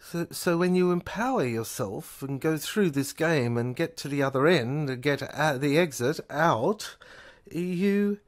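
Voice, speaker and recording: A speaker in an amateur audio drama, explaining things earnestly in a halting, stammering way with repeated phrases. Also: medium pitch, animated.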